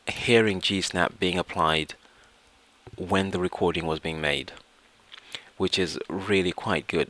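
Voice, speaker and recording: A man narrating an informal software tutorial step by step, hesitating and repeating himself as he goes. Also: low voice. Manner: hesitant, repetitive, explains step by step. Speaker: tutorial presenter.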